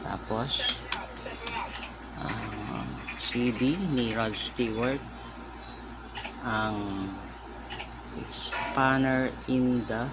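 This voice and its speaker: Slow-talking Filipino man, very noisy recording. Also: medium pitch, fairly even intonation. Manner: halting, short phrases, Tagalog-English code-switching. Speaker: vlogger, record collector. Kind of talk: casual show-and-tell haul vlog. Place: at home.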